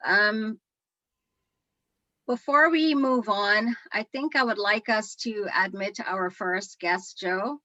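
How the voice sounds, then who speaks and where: A woman's voice: medium pitch, takes it slowly, pushed and bright, dry recording. A middle-aged woman hosting a livestream interview, speaking over a muffled video call. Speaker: host.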